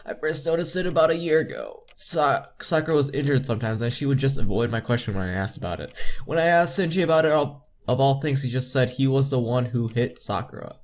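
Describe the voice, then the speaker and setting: A man's voice: mid-range voice, fast. A young man hosting a game playthrough, reading story text aloud and commenting on it informally. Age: young adult. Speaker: playthrough host.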